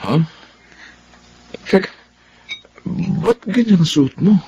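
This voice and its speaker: A man's voice: high voice, animated, slow pace, mellow, slightly rough, lo-fi sound, full character voice. A man with a Filipino accent playing a hesitant, easily tempted young man of the house in a radio drama. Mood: flustered.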